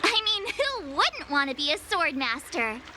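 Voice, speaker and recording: A woman's voice: high-pitched, unhurried, background noise. A woman playing a bubbly, eager, boastful teenage girl apprentice in video game voice acting. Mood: enthusiastic. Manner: excitable, boastful, playful. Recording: game music behind the voice. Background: game background music.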